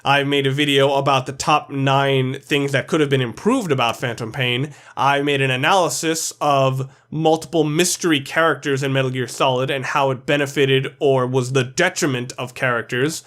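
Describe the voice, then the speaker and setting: A man with a slightly high voice delivers opinionated video-review narration. He is the host, speaking informally and freely dropping curse words. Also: a bit bright. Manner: informal, sweary.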